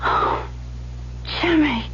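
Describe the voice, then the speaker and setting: An actress playing a shy, lonely, devoted assistant in love in an old radio drama, with a hesitant, earnest delivery. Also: female voice, very slow, fairly bright tone. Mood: anxious, pleading. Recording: dull, hissy vintage radio recording with hum.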